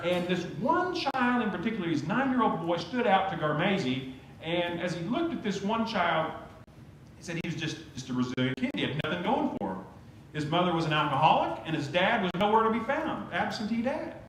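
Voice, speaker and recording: Oklahoma preacher guy, high animated voice, telling stories in a super echoey church. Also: brisk. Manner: conversational, storytelling preacher with lively rises. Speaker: pastor. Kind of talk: sermon. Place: church sanctuary. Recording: very echoey church sanctuary, faint hum.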